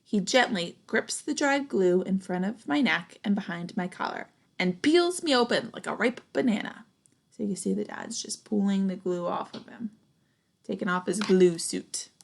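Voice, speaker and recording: Young lady doing a kids book read aloud, takes it slow, bit of gravelly fry, asks the listeners stuff. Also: mid-range voice. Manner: expressive, deliberate, questions and comments to young listeners. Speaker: storybook reader, teacher.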